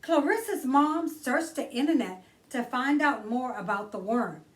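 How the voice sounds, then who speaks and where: A woman's voice: high voice, animated, a little slow, far from the mic. A woman hosting a children's storytime, reading a storybook aloud expressively and addressing young listeners directly in a theatrical way.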